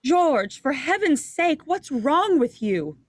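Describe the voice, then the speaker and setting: A woman playing a patient, concerned wife and mother in a 1940s radio drama, with a gentle manner. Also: sits high, sing-song, slow, exaggerated voice. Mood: worried.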